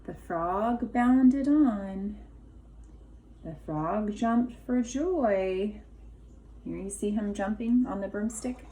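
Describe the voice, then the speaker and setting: A woman's voice: mid-range voice, slow, warm tone, low-quality recording. A woman reading a storybook aloud to young children as a teacher, drawing out key words for emphasis.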